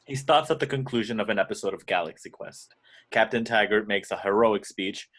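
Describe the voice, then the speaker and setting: Low-voiced man reading stage directions. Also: a little fast, a bit bright. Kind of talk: scripted narration at amateur table read.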